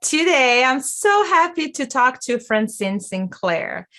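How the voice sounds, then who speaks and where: A woman hosting an interview, her voice bright and forward. She talks eagerly and loosely, restarting sentences and stacking questions as she goes. Her enthusiasm carries every line. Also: sits high, very animated, takes it slowly. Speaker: podcast host. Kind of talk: casual interview.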